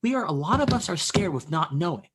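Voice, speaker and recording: A man's voice: high-pitched, very animated, quick pace, fairly bright tone, breathy edge. A man of twenty, a guest in a casual conversation, talking earnestly and philosophically with stammered restarts.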